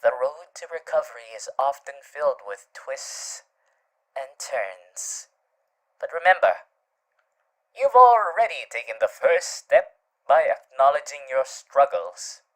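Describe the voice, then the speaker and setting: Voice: mid-range voice, very animated, slow, a bit bright, low-quality recording. An actor voicing a suave, theatrical male demon who soothes the listener tenderly in an ASMR roleplay, often dropping to murmurs. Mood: gently reassuring.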